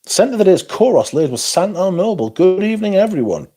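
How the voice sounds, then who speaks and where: A man's voice: sits high, sing-song, quick pace, mellow, breathy edge, soft background music. A man hosting a livestream, reading out chat and bantering in a sweary, sarcastic way.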